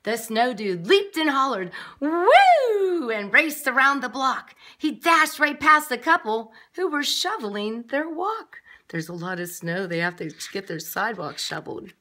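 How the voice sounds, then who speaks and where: A woman's voice: on the high side, expressive, normal speed, few pauses, sharp tone. A woman nursery teacher reading a picture book aloud expressively, with chatty asides to young children. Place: classroom, indoors.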